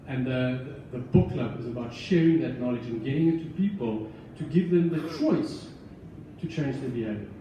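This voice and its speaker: A man who founded a book club and hosts it, addressing an audience in an earnest, measured way, with restarts. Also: medium pitch, mellow, highs cut off, a little hiss or hum. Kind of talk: speech to an audience. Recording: echoey room, voice from far off.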